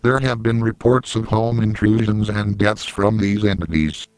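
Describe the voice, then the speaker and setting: Male actor as emergency broadcast announcer, low-pitched, crisp, grave, impersonal, deadpan, analog horror. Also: normal speed, no echo, natural voice, rough recording.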